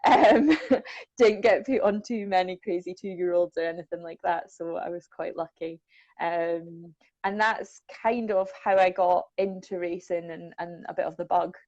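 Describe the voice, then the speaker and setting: A woman's voice: on the low side, fairly even intonation, a little slow, a little mellow, dry recording. A young Scottish woman from the Borders, a welfare manager chatting casually and self-deprecatingly with lots of ums. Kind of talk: casual chat. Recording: dull lo-fi sound.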